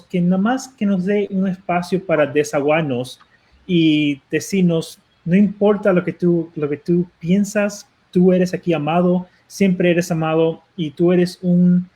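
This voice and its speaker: A Cuban-accented man with a rather high voice, speaking as a pastor on a talk show. He talks earnestly but haltingly, repeating and restarting words as he searches for them. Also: warm tone. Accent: Cuban Spanish. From Cuba. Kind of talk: guest answering on a talk show.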